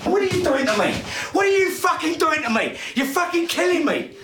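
A man's voice: sits high, lively intonation, brisk, background noise. An actor playing a volatile, menacing middle-aged father in a naturalistic film, aggrieved, repeating himself and swearing. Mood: raging. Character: volatile, menacing father of a troubled teenage son.

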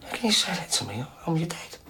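A man's voice: fairly high pitch, sing-song, fast, crowd noise. An actor playing a volatile, menacing middle-aged father in a naturalistic film, aggrieved, repeating himself and swearing. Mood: defensive. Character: volatile, menacing father of a troubled teenage son.

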